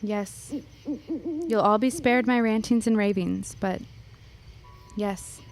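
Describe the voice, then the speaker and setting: A woman's voice: mid-range voice, fairly even intonation, takes it slowly, close to an everyday voice. A woman playing a fearful, serious teenage schoolgirl in a period radio drama, speaking formally and with reserve. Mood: haunted, fearful. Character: Nancy, guarded, fearful, serious schoolgirl in her teens.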